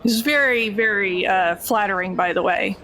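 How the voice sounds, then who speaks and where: A woman's voice: medium pitch, moderate pace, sharp tone, faint music behind, close to an everyday voice. A woman playing a sarcastic, defiant burglar in a tabletop game, with a dry, sardonic delivery. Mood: teasing. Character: sarcastic, foul-mouthed burglar, defiant and wry.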